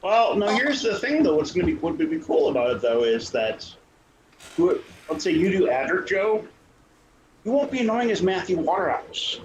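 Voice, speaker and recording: A man's voice: high-pitched, sing-song, moderate pace. A man chatting casually on a panel in a joking, teasing manner. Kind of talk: casual chat. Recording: echoey room with background noise.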